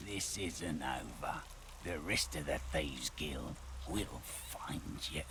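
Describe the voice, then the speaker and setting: Very deep, gravelly vengeful thief. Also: male voice, heavy background noise, exaggerated voice. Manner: slow, menacing. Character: defeated male thief, grim vengeful villain. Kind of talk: video game voice acting.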